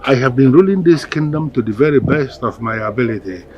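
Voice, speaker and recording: A man with a Nigerian accent playing a proud, ruthless village king in a melodramatic film, speaking in declamatory, deliberate phrases. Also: mid-range voice, lively intonation, dull audio, lightly acted. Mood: shocked, anguished. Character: proud, ruthless African village king, vengeful, later anguished. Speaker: film actor. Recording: background music under dialogue.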